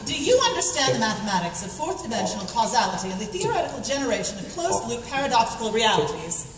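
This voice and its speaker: A woman in a comic radio play acting a brisk, clever, bossy young inventor heroine, quick-witted and decisive. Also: a little high, sing-song. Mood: explaining. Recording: echoey hall, distant mic, crowd noise.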